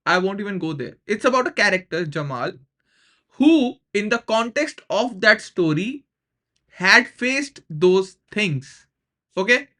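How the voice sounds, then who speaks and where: A man's voice: high-pitched, expressive, a little slow, sharp tone. A young man with an Indian accent giving casual, opinionated reaction commentary, slipping informally between two languages. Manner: opinionated, informal, switching between English and Hindi.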